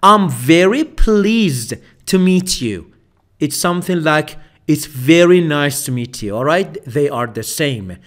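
A man teaching common English expressions, saying each phrase slowly and repeating it several times for learners. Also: a little high, expressive. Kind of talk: slow spoken English lesson with repeated phrases. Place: voice-over for slide video.

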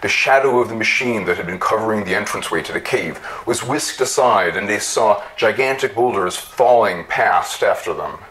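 Low-pitched man, dramatic storyteller. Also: animated, a bit bright, a little hiss or hum, low-quality recording. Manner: theatrical, deliberate. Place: small room with bookshelves.

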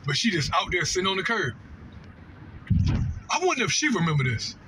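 A man's voice: sits high, very animated, fairly quick. A middle-aged man, telling a personal story casually and animatedly, with slangy asides and repeated phrases. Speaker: storytelling vlogger. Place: inside a moving car. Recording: vehicle road noise.

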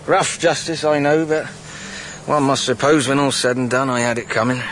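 A man playing a bitter, merciless ghostly highwayman in a radio drama, with archaic, threatening speech. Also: little pitch movement, moderate pace, a bit bright, a little hiss or hum, natural voice. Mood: grimly resigned.

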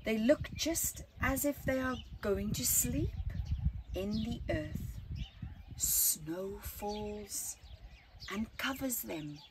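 A middle-aged woman reading a children's picture book aloud outdoors, phrasing deliberately with dramatic emphasis and hushed words. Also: a little high, expressive, background noise. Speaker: storytime reader. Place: outdoors at a flower farm. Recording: outdoor ambience with birdsong.

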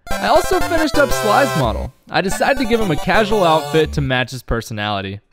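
A man's voice: high-pitched, sing-song, a little fast, over music. A young man narrating a scripted vlog about his own work, with wry, self-deprecating humour. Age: young adult. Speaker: video creator and game developer.

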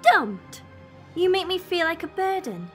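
A woman's voice: very high-pitched, very animated, unhurried, a bit bright, faint music behind, exaggerated voice. A woman playing an anxious, homesick and stubborn young traveller in a sci-fi audio drama, questioning and protesting. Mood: indignant.

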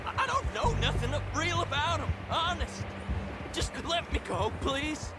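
An actor playing a panicked, pleading young outlaw held captive in a western game. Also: male voice, extremely high pitch, sing-song, measured pace, over loud music, full character voice. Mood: begging. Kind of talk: cinematic video game acting.

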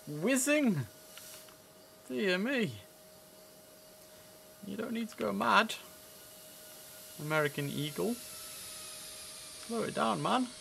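A man's voice: a little high, vocal fry, a bit bright, slight background noise. A man in his thirties giving casual live game commentary, chatty, switching between asides and formal controller calls. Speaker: streamer playing an air traffic controller. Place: indoor room, live stream.

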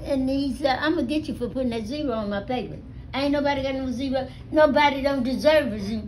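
An elderly American woman telling stories casually to family, with a salty, animated manner, recorded on a phone at home. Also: high-pitched, quick pace, poor audio. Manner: animated, salty, acts out dialogue with swearing. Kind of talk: casual storytelling among family. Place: small living room at home. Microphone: phone held near her.

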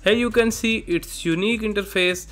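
A man presenting a software tutorial, narrating step by step in simple instructional phrases. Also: high voice, normal speed. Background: background music.

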